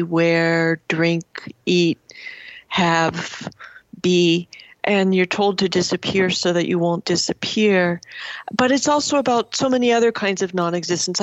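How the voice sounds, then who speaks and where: A woman's voice: fairly low pitch, no echo, dull audio. A middle-aged woman speaking as a writer in a reflective literary interview, articulate, building long lists of phrases. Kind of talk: reflective literary interview, reading from own memoir.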